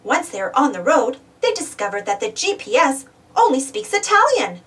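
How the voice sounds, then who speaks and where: A woman reading a children's storybook aloud in an animated, expressive way. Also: sits high, moderate pace, far from the mic. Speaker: storybook reader, channel host. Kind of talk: children's read-aloud narration.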